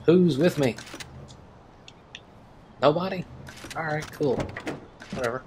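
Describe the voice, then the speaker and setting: A man's voice: on the high side, slow pace, background noise. A man giving casual let's play commentary as a gaming video host, rambling and wry.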